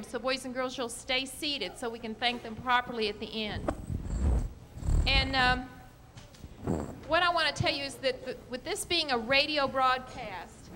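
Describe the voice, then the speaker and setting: A woman with a high, bright voice addresses an audience as a school principal opening an evening event. She is recorded live in a reverberant hall, with faint noise around her. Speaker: school principal and producer giving welcome. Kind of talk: welcoming speech to an audience. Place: large echoey hall, live stage event. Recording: echoey hall, some background noise.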